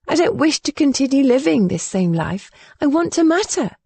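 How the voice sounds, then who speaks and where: Young noblewoman, spirited and yearning, voiced by a female narrator, high emphatic lines, mellow tone. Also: big pitch swings, normal speed, gravelly, dry recording, full character voice. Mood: earnest. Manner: emphatic, rising and falling sharply.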